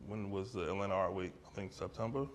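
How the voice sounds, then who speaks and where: A man with a somewhat low voice speaks casually in an art gallery, fielding questions from the audience. He talks loosely and conversationally, tossing in "right?" and "like" as he works through his thoughts. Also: fast, slight background noise. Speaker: artist discussing his exhibition.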